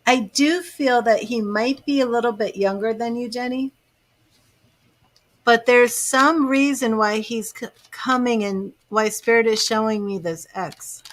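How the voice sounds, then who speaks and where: A woman's voice: fairly high pitch, unhurried. A woman giving live tarot readings, chatting to viewers by name and musing over the cards. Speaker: tarot reader.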